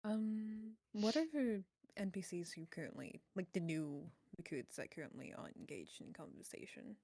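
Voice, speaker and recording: Female tabletop player, slightly low and creaky, relaxed conversational delivery. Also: moderate pace, no echo, clean studio sound. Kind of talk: casual game chat.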